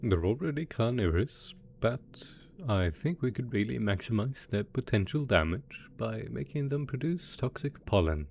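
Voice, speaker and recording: A man playing a doting, possessive mad scientist boss in an ASMR roleplay, soft-spoken, coaxing and sweetly sinister. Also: mid-range voice, lively intonation, moderate pace, creaky, few pauses, slight background noise. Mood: cheerful, attentive. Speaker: voice actor in audio roleplay.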